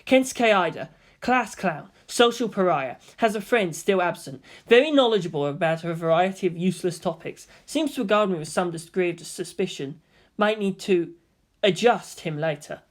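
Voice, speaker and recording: A woman's voice: fairly low pitch, animated, normal speed, natural voice. Woman reading as a serious, reserved teenage boy. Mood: calculating. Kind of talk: fan fiction character reading.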